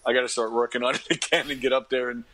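A fifty-year-old man, chatting casually as an interview guest and telling stories in an animated, conversational way. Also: mid-range voice, fast talker, a little hiss or hum. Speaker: interview guest, actor and comedian. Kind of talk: casual interview anecdotes and advice.

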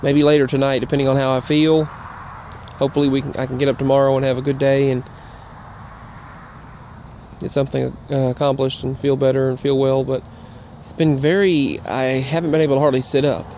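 A man giving a casual, rambling update while walking outdoors, halting and often repeating himself. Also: medium pitch, flat delivery, a little mellow. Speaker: vlogger. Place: outdoors, walking in a grassy yard near roads. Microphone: earbuds microphone with a phone. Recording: clear outdoor traffic noise. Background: passing traffic.

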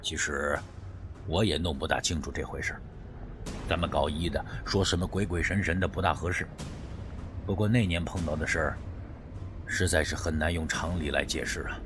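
A man voicing an older medicine teacher in an audiobook, measured and reflective, speaking in an understated, reluctant way. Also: extremely low pitch, over music, voice pushed down. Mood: hesitant, reflective.

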